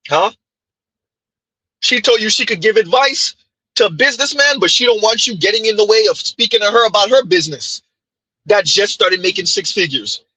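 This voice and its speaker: A man with a Haitian accent commenting on clips during a live stream, emphatic and rhetorical, with a husky voice. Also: sits high, lively intonation, normal speed, sharp tone, no room sound, rough recording. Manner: emphatic, rhetorical "huh?" asides.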